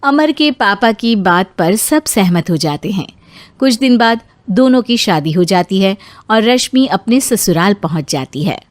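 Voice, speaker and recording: A woman narrating a scripted animated family story. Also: medium pitch, measured pace, no echo.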